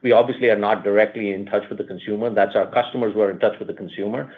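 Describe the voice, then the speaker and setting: A man, a company executive, answering analysts on an earnings call, talking quickly with restarts and stock phrases. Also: on the low side, fairly even intonation. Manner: fast, repeats words, leans on "look" and "at the end of the day". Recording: tinny phone-line audio.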